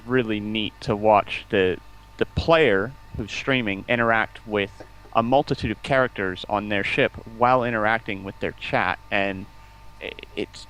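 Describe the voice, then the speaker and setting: A man's voice: lowish voice, fairly bright tone, a little hiss or hum, low-quality recording. A man talking casually as a guest in a stream interview, explaining at length in an enthusiastic, rambling way. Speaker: stream guest, community outreach representative. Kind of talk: casual interview talk about game streaming.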